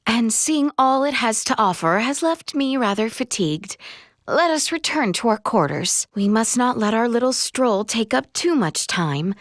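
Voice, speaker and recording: A woman voicing an earnest, dutiful young royal heroine in a video game, speaking in formal, archaic, measured phrases. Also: fairly high pitch, lively intonation, no echo, clean studio sound, close to an everyday voice. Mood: polite, gracious. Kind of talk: video game character voice acting.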